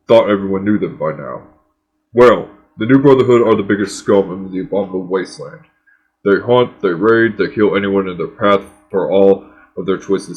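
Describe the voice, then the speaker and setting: A man playing a guarded, authoritative wasteland governor in a game cutscene, with a measured, commanding, cryptic manner. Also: sits low, a little mellow, natural voice, low-quality recording. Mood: stern, probing. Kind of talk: scripted game cutscene dialogue.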